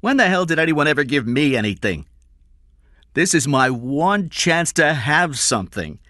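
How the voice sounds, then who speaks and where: A man's voice: medium pitch, sing-song, unhurried, a bit bright, dry recording, studio quality, pitched above the performer's own voice. A man voicing a resentful, yearning kidnapper in an audiobook thriller, insistent and embittered. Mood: bitter, insistent. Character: resentful, yearning male kidnapper, poor and determined.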